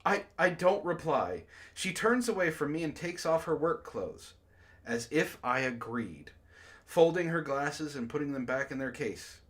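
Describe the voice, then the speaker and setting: A man's voice: on the high side, expressive, a little slow, fairly bright tone. A man hosting a comic book club, reading a story aloud and breaking off with laughing, exclaiming asides.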